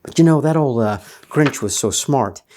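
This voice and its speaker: Animated male storyteller with swooping pitch. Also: normal speed, a little hiss or hum. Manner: theatrical, emphatic, addressing children. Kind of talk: animated children's storybook reading.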